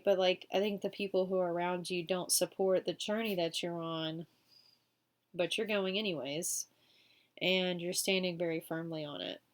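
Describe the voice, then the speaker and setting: A woman with a cold doing a casual weekly tarot reading, chatty and full of fillers. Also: fairly low pitch. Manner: chatty, many fillers like um and you know. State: sick, sniffling. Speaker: tarot reader.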